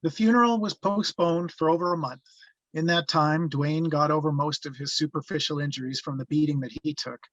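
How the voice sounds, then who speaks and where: Man playing haunted narrator, highish pitch, reflective, halting, dry close sound, slightly dull audio. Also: fairly bright tone, no echo. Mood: reflective, regretful. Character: haunted grown man recalling his youth. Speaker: actor reading the narrator at a table read. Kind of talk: reflective first-person scripted narration.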